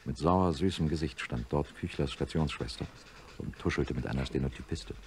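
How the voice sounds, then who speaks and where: A man playing a sober middle-aged neurosurgeon, narrating a radio drama in a measured, matter-of-fact way. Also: a bass voice, a little mellow, a little hiss or hum. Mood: shaken. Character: sober male neurosurgeon professor recounting his experiment.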